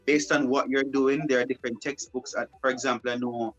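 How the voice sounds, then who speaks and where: A man's voice: mid-range voice, level tone, fairly quick, fairly bright tone, soft background music, low-quality recording. A young man, a broadcast journalist, answering interview questions casually and often repeating and restarting his phrases. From Jamaica.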